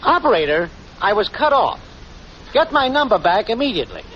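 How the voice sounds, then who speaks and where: An actor playing a cocksure, shiftless boyfriend with breezy, slangy smooth talk in a 1940s radio comedy. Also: male voice, sits high, big pitch swings, fairly quick, a crowd in the background. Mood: bossy, prompting. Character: scheming, cocksure, shiftless boyfriend, a small-time wise guy. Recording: muffled, hissy 1940s radio recording.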